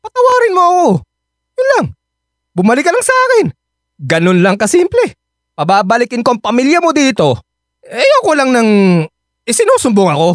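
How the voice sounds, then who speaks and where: An actor playing a hot-headed, manipulative husband who argues and wheedles in a radio drama. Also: male voice, very high voice, huge pitch swings, a little fast, pauses often, a bit bright, no echo, full character voice. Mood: pleading. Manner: argumentative, wheedling.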